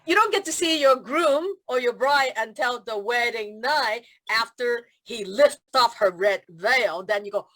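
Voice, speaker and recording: A woman from Hong Kong, a feng shui consultant chatting as a guest over a video call, telling stories with animated quoted voices and frequent laughter. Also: on the high side, unhurried, hardly any pauses, poor audio. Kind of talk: casual interview chat.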